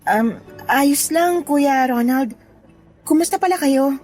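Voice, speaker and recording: An actress with a Filipino accent playing a reserved, slightly awkward younger sister in restrained radio drama dialogue. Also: female voice, high voice, lively intonation, slow, a little mellow. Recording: faint music under dialogue. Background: faint music.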